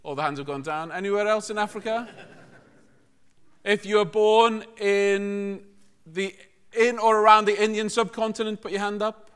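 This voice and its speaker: High-voiced man preaching informally. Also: steady tone, normal speed, fairly bright tone, clean tone. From Liverpool, England. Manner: informal, joking, engages listeners with questions. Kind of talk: conversational sermon to a congregation.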